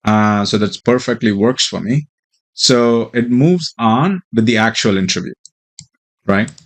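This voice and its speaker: Male tech presenter, lowish voice, explanatory, hesitant delivery with plenty of filler. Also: measured pace. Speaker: software developer presenting a demo. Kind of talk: tech tutorial walkthrough and mock interview answers.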